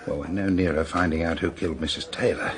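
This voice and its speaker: An actor playing a matter-of-fact British police detective in a radio drama, laying out facts deliberately. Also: male voice, rumbling low, steady tone, slight background noise. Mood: grave, intrigued.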